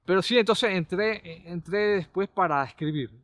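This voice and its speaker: A middle-aged Panamanian man, a caricaturist and comedy writer, recalling his TV work in a relaxed, rambling way with many restarts. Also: high-pitched, moderate pace, dry recording. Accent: Panamanian Spanish. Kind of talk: relaxed conversational storytelling.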